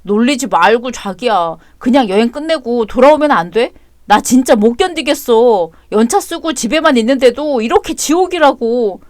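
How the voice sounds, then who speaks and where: Woman playing a frazzled dad, whiny and pleading, lively pitch swings. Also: normal speed, dry recording, clean studio sound, natural voice. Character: whiny overwhelmed young father and husband.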